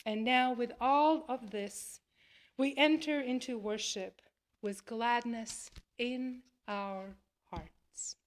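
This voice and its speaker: A woman minister with a high voice leads worship. She takes her time, speaking very slowly and setting out each phrase deliberately as she addresses the congregation. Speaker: minister leading a church service. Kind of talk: worship address, part spoken, part read aloud.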